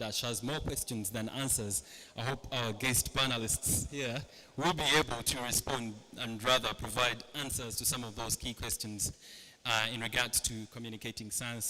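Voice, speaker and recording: A man's voice: medium pitch, sharp tone. A man with a Ugandan accent moderating a panel, hesitant with many fillers. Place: large hall. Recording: reverberant hall with faint ambience.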